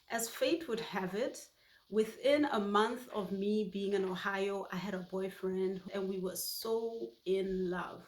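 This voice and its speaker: Heartfelt woman from Botswana, echoey room. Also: mid-range voice. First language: Setswana. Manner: warm, heartfelt storytelling. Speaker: YouTube host. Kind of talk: personal reflective monologue.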